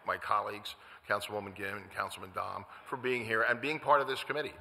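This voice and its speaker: An older man chairing a city council hearing, speaking loudly and haltingly with many fillers and repeated words. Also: on the low side, fairly even intonation, creaky. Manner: loud voice. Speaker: committee chair. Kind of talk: closing remarks at a public hearing. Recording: echoey council chamber with long reverb.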